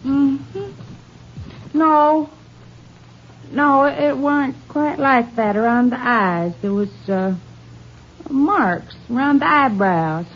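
High-voiced folksy country cafe woman. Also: very slow, long pauses, heavily acted. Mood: eager, helpful. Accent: rural Southern American. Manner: homespun, ungrammatical country talk. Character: folksy rural cafe woman, eager witness. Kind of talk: 1950s radio drama acting. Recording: muffled, slightly noisy old radio recording.

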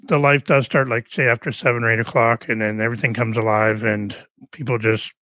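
A man's voice: medium pitch, little pitch movement, fairly quick, bright, forceful tone, no room sound. Man hosting a podcast chat. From Canada. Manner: rambling, friendly, with restarts. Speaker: podcast host. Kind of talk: casual interview chat.

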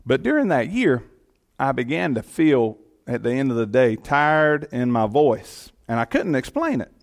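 A middle-aged man with a Southern Georgia accent tells his personal testimony to an audience. His delivery is earnest and folksy, and his voice sits at an ordinary middle pitch for a man. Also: sing-song, measured pace, gravelly. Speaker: county commission chairman.

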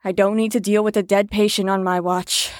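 A woman's voice: mid-range voice, level tone, brisk, dry recording, natural voice. A woman in an audio drama playing a firm carer on a patient ward, with a dry, exasperated manner. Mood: firm, stern.

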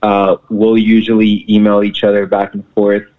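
A man from Mexico, a band's singer and guitarist, answering interview questions in a relaxed way with many restarts. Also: fairly low pitch, normal speed. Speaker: band vocalist and guitarist. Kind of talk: casual interview. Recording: tinny phone line.

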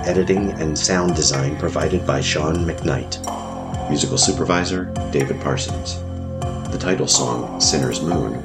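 A man narrating a scripted horror story in a deliberate, dramatic way. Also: very deep voice. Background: music under the voice.

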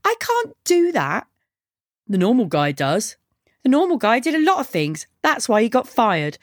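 A woman voicing a brash, sarcastic young punk woman in audiobook dialogue, deadpan and taunting. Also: on the high side, a little slow, dry recording, studio quality, pitched above the performer's own voice, heavily acted.

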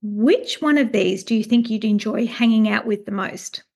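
A woman hosting a book interview, speaking in an effusive, expressive way. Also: on the high side, moderate pace, vocal fry. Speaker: interview host.

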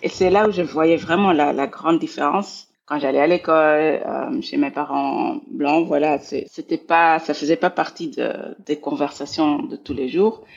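Belgian woman, 40s, interview guest; husky, gravelly voice, thoughtful and searching, slightly muffled audio. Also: sits low, moderate pace, few pauses. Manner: thoughtful, repeats words while searching for phrasing. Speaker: guest, scholar of international relations. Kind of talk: reflective interview talk.

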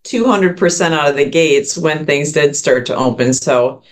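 A woman's voice: deep voice, normal speed. A woman hosting a podcast, interviewing a guest in an upbeat, encouraging way. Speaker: podcast host. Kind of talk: casual interview.

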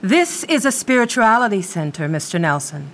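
A woman's voice: medium pitch, sing-song, normal speed, fairly bright tone, slight background noise. A woman playing a guarded, formal and evasive manager of a spiritual collective in an audio play.

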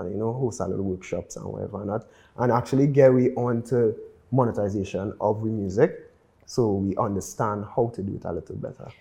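Man, Trinidadian accent, lowish pitch, dark relaxed tone, casual conversational interview talk, music producer. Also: gravelly. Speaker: music producer, podcast guest.

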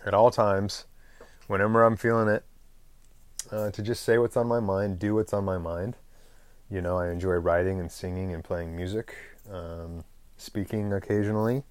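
A man with a fairly low voice hosts his own podcast in a loose, unscripted monologue. He rambles from thought to thought, pauses on fillers and clears his throat now and then. The close microphone leaves the sound dry, with no room around it. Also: level tone, dry recording. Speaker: podcast host.

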